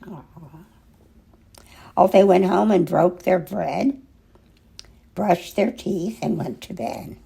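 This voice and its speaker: Older female storyteller, very creaky, mellow tone, expressive children's read-aloud with dramatic pauses. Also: lowish voice. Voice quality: husky, gravelly. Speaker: storyteller reading a picture book aloud.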